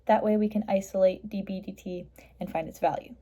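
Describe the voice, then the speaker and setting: Female tutor for math walkthrough: middle-pitched, somewhat mellow voice, matter-of-fact step-by-step delivery. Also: fairly even intonation, a little fast. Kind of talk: step-by-step explanation of a worked math problem.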